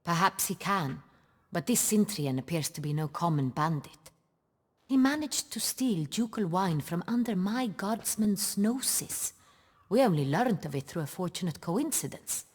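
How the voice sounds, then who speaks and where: A woman's voice: fairly low pitch, expressive, a little slow, darkish tone, slightly rough. An actress playing an imperious, impatient noblewoman ruler in a video game, speaking in formal, commanding, archaic phrases. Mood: anxious, impatient. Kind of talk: dramatic video game acting.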